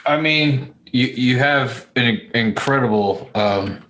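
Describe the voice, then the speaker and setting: A man hosting a podcast in casual chat, speaking bluntly and haltingly with plenty of swearing. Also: mid-range voice, fairly bright tone, low-quality recording. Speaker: podcast host.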